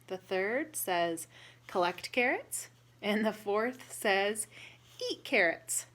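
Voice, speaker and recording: A woman reading a picture book aloud to young children, pausing to explain and ask questions in a deliberate, instructive way. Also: medium pitch, lively intonation, fairly bright tone.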